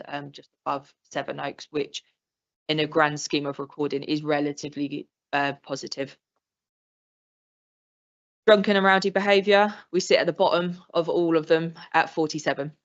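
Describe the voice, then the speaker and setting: English woman police inspector, flat low delivery, casual briefing, lo-fi meeting recording. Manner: plain, informal briefing with many ums. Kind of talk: presentation at a council meeting. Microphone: meeting room microphone. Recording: dull lo-fi council livestream audio.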